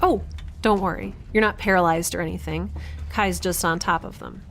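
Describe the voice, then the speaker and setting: A woman playing a chatty, nerdy, stubborn young scientist in an audio drama, rambling and halting over clear background noise. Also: on the low side, steady tone, a bit bright. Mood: flustered, upbeat. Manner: rambling, halting, talking to herself. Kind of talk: audio drama monologue and dialogue.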